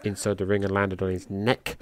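A middle-aged man with a slightly low voice calls a wrestling match in loose, rambling podcast commentary. He talks casually and swears here and there. He rises in pitch and volume when the big moves land. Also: brisk. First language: English. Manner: loose, rambling, swears casually, gets excited at high spots.

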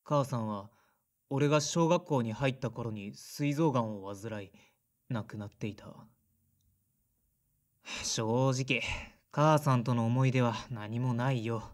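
A man's voice: medium pitch, slow pace, lightly acted. An actor playing a blunt, sullen man in his thirties in an audio drama, casual and introspective. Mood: subdued, reflective. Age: 30s. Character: sullen, blunt son of a weaving family, mid-thirties. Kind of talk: audio drama narration and dialogue.

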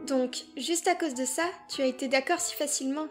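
Timid cartoon boy, played by a woman: very high voice, stammering and pleading, stubborn streak, music behind. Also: brisk, close to an everyday voice. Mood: wary, quieter. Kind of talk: amateur comic dub acting.

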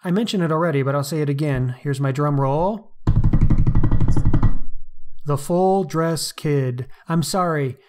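A man's voice: a little high, measured pace, long pauses, over loud music. A man co-hosting a podcast, discussing books casually in an articulate, wry way. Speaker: podcast co-host.

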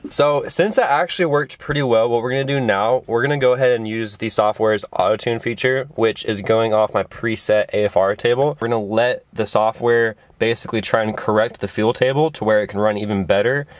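A man's voice: fairly low pitch, brisk, few pauses, no echo. A young man, hosting a casual car vlog, walking viewers through each step from inside a small car. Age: young adult. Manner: explains steps to viewers, talks to camera. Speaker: car channel host.

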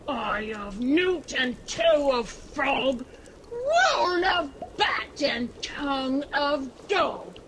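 A woman's voice: high-pitched, bright, forceful tone, slight background noise, exaggerated voice, rough recording. A woman voicing an old witch who chants spells over a cauldron, in an amateur radio drama. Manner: chanting incantations.

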